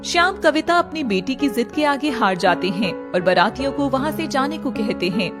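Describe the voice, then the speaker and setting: A woman's voice: high voice, animated, normal speed, over loud music. A woman narrating a scripted story in a dramatic storytelling style.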